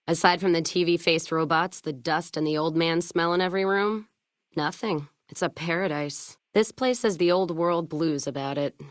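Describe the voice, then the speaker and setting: A woman's voice: deep voice, no echo, studio quality, natural voice. A woman voicing a hard-drinking, hot-tempered, cynical caravan trader in a video game, with a blunt, sardonic, salty and world-weary delivery. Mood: sardonic, irritated. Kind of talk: video game character dialogue.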